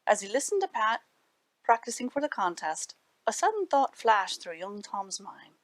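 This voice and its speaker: A woman reading aloud to children as a library storyteller, animated and dramatic with deliberate phrasing. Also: medium pitch, no room sound.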